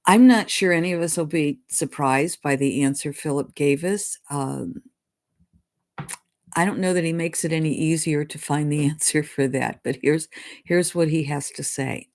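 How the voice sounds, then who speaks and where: Hoarse stuffed-up lady psychic chatting, clears her throat now and then. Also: sits low, moderate pace, darkish tone. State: congested, deep hoarse voice after illness. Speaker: psychic channeling a spirit guide. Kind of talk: casual weekly message.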